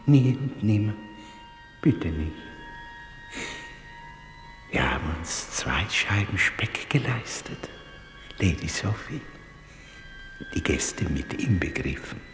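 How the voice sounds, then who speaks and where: An older man playing a timid, humble old porter in a vintage German radio play, halting and deferential. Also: fairly low pitch, big pitch swings. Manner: halting, deferential, breaking into whispers. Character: humble, timid old messenger, poor widower. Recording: echoey vintage radio play, long reverb. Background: music.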